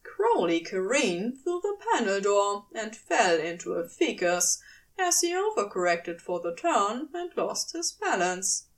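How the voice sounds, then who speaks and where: A woman's voice: medium pitch, sing-song, measured pace, far from the mic. A woman reading fiction aloud as dramatic scripted narration. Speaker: fiction reader.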